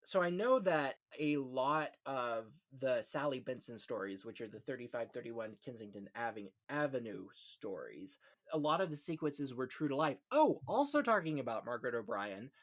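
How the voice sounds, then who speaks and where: A man's voice: medium pitch, sing-song, normal speed. A man hosting a film podcast, talking casually and chattily with little asides. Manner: chatty, punctuates talk with "you know" asides. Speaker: podcast host. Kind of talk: casual chat about classic film.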